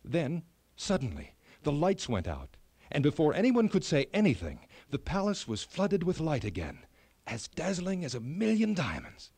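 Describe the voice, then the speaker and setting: A man narrating a children's fairy tale in an expressive, measured storytelling style. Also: on the high side, breathy edge, no room sound.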